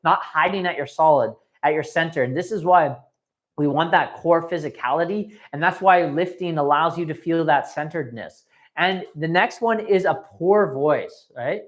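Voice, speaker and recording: Higher-voiced man coaching emphatically. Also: moderate pace. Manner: emphatic, repetitive, checking in with "right" and "okay". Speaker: dating coach. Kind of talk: live training talk to viewers.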